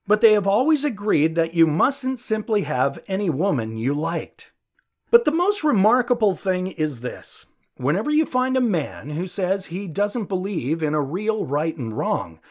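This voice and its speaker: A man with a fairly high voice reads an audiobook lecture in a slightly muffled, lo-fi recording. His pitch moves widely as he stresses his points. The phrasing is measured and rhetorical, like someone reasoning aloud with listeners. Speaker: audiobook narrator. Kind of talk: audiobook reading of a conversational lecture.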